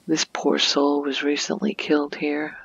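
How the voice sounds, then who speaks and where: Soft, breathy woman giving an ASMR tour. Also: deep voice, monotone, a little slow, no echo, muffled recording. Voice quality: soft, breathy, often near whisper. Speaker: video game streamer and ASMR creator.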